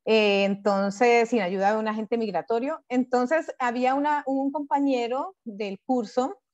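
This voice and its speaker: Higher-voiced Colombian woman, dull audio. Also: a little slow, darkish tone. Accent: Colombian, from Cali. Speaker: interview guest, former exam candidate. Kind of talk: casual testimonial interview.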